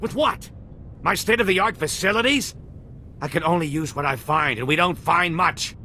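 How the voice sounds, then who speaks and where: An actor playing a cagey, sarcastic pirate doctor full of bluster in a video game, with music behind. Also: male voice, on the high side, moderate pace, bright, forceful tone, slight background noise. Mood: defensive, sarcastic. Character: sardonic pirate doctor, cagey, bluffing, threatening lowlife.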